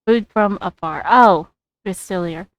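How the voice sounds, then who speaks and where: Woman streamer chatting over gameplay, slow relaxed pace, bit of noise behind her. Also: medium pitch. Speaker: game streamer. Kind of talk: casual playthrough commentary.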